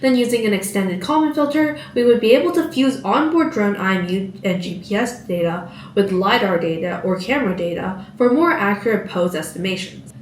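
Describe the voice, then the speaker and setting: A woman's voice: medium pitch, expressive, fairly quick. Echoey teenage girl, far from mic. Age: teens. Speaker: student research presenter. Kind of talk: scripted presentation. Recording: echoey, distant mic, background noise.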